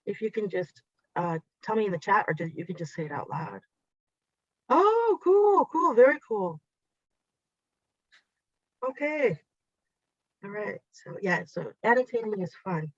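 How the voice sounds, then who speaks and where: A woman's voice: mid-range voice, very animated, unhurried, pauses often, dry recording. A woman whose first language is Japanese, presenting a teacher workshop online in a chatty, encouraging way and guiding the audience step by step. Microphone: video call. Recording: dull lo-fi video call audio.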